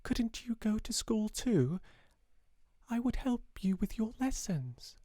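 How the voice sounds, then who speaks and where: Man voicing a small wistful girl, high and hushed. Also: sing-song, takes it slowly, no room sound, studio quality, full character voice. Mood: pleading. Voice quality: soft, hushed, breathy near-whisper. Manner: tender, hesitant questioning. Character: small wistful seven-year-old girl, soft and mysterious. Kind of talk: audiobook character voice.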